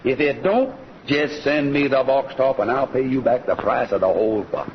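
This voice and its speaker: An American man playing a friendly, folksy cowboy ranch host who pitches to listeners in the style of a 1940s radio western. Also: animated, normal speed, slight background noise, lightly acted. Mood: hearty, folksy. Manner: folksy, hearty. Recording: dull lo-fi old radio recording. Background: music.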